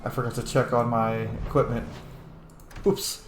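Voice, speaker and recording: A man's voice: mid-range voice, flat delivery, normal speed, soft background music. A man giving casual let's play commentary, rambling and muttering to himself as he goes. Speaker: gaming streamer. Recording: roomy echo, faint background noise.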